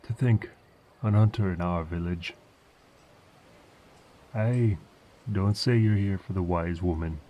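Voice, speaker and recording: An aged, humble villager in a fantasy audio drama, with a rustic burr. He rambles folksily and curiously at a very slow pace. The voice is deep and dark, the sound a little dull. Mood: curious, wary. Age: older. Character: old injured village farmer, humble, chatty and curious. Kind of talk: fantasy audio roleplay acting.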